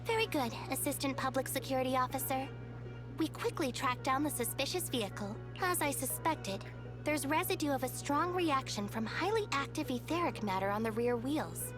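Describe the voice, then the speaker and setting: High-pitched, calm android detective. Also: female voice, over music. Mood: calm, authoritative. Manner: measured, formal, matter-of-fact. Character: calm female police investigation android, wise and detached. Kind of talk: video game voice acting.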